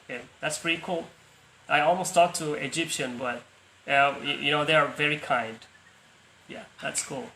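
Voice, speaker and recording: Bright-voiced young Indonesian man. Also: a little high, steady tone, moderate pace, echoey room, slight background noise, low-quality recording. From Palu, Central Sulawesi, Indonesia. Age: young adult. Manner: hesitant, restarts often, laughs easily. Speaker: host of a language-practice video call. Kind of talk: casual conversation practice.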